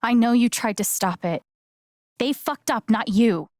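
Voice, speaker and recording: Casting: actress as wry, candid young woman for a narrative game, slow conversational delivery, natural mid-range voice, very dry sound. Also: on the high side, a little mellow, no echo, lightly acted. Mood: accusing, firm. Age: young adult. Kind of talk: naturalistic video game acting.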